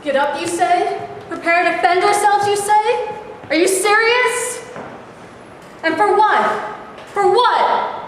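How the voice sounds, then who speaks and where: A woman's voice: very high-pitched, slow, a bit bright, heavily acted. A woman playing an anguished, wounded Japanese mother, horrified and defiant, in a staged reading of a play. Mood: anguished, outraged. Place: reverberant indoor room at a staged reading. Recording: echoey hall with clear background noise.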